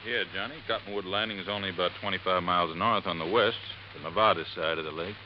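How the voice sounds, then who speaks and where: An actor playing a friendly, eager helper in a 1950s radio drama, folksy in manner. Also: male voice, low voice, steady tone, fast talker, highs cut off, natural voice. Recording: noisy old radio broadcast.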